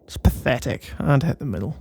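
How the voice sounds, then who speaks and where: A man's voice: medium pitch, lively intonation, fairly quick, vocal fry, a little mellow, slightly rough. A man doing a casual, rambling ASMR boyfriend roleplay in a playful, mock-boastful and meandering way.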